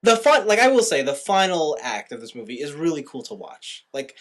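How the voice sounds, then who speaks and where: Guy hosting a movie podcast, voice a bit high, chatty, keeps rephrasing his takes. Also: sing-song, fairly quick, a bit bright. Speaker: podcast host. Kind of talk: casual movie review chat.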